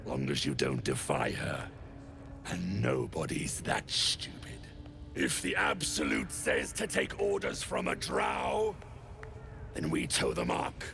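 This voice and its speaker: Brutish goblin thug, super low growly voice, swings around wildly, rough slangy talk. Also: male voice, huge pitch swings, slow pace, fairly bright tone, soft background music, heavily acted. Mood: gruff, proud. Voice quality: gravelly, growling. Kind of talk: video game character acting.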